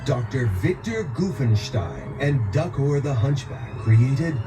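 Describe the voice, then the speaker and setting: A man narrating a scripted children's story in an expressive storytelling manner. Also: mid-range voice, slow. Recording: loud music behind narration. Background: music.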